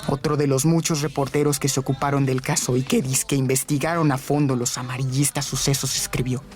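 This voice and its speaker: A man's voice: mid-range voice, a little mellow. A man reads scripted documentary narration for a radio program.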